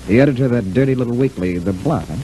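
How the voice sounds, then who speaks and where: A man's voice: medium pitch, racing, mellow, faint music behind, natural voice. An actor playing a stern, methodical male prosecutor in a 1940s radio serial. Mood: probing, stern. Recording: noisy old 1940s radio recording.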